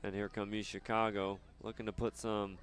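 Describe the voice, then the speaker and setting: A man doing live soccer commentary outdoors, chatting casually and haltingly between plays. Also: lowish voice, little pitch movement, fairly bright tone. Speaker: sports play-by-play announcer.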